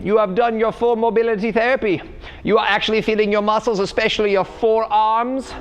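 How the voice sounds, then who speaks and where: A man's voice: sits high, voice pushed up, natural voice. A man playing an overexcited parkour coach with a mock German accent, stretching words in a sing-song way and tagging lines with "yeah?". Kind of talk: comedic tutorial.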